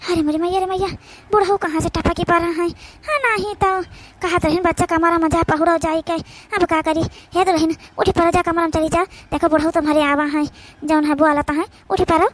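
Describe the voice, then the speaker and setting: A woman's voice: very high voice, flat delivery, brisk, slight background noise. A woman voicing a put-upon old village mother-in-law in a comedy cartoon, pleading and grumbling with an Awadhi accent. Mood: exasperated.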